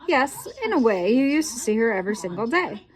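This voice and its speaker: A patient, gently teasing mother from a storybook, voiced by a woman reading aloud. She coaxes her child along with hints, her voice rising and falling warmly and clearly. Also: a little high, very animated, fairly quick. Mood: gentle.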